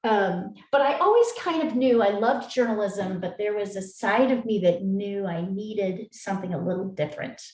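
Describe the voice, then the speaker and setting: A woman's voice: medium pitch, animated, moderate pace, few pauses. Chatty woman in an echoey room. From Seattle, USA. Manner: chatty, many fillers, warm encouragement. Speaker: art teacher. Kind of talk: casual chat and teaching. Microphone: video call.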